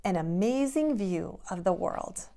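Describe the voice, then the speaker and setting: A woman's voice: on the low side, expressive, measured pace, creaky, warm tone, a little hiss or hum. A woman preschool teacher speaking to young children in simple, guiding phrases, as in a read-aloud or lesson. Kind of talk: expressive picture-book read-aloud and children's drawing lesson.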